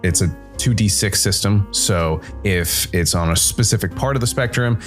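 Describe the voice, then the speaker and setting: A man hosting a tabletop gaming show, explaining how a game works in a measured, informal review style. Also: fairly low pitch, faint music behind. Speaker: solo RPG show host.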